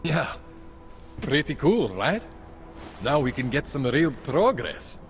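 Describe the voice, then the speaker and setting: A callous, blunt male activist, drawling slowly with a lively, swinging pitch. Faint game music sits under him. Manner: slow, casual, blunt. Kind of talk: video game dialogue.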